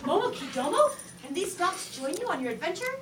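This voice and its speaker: A woman telling a story to young children in a playful, lively way with drawn-out emphasis, in a classroom. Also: extremely high pitch, noisy background. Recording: echoey room from far off.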